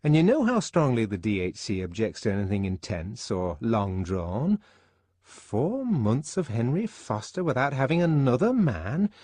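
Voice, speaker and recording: A man voicing a prim, fussy woman who scolds her friend in a shrill, disapproving tone. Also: very animated, measured pace, mellow, dry recording. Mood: scolding.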